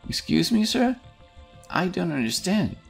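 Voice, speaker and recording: A man's voice: high voice, sing-song, a little slow, gravelly, soft background music, voice pushed up, full character voice. A man voicing a small, nervous, pleading army captain in a fantasy audiobook, whining and deferential to his superior. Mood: bewildered.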